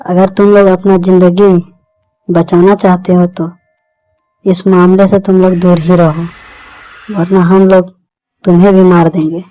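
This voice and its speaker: A woman's voice: lowish voice, flat delivery, unhurried, long pauses, mellow, soft background music, close to an everyday voice, rough recording. A woman playing a vengeful, menacing mother witch ghost in a horror cartoon. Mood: threatening.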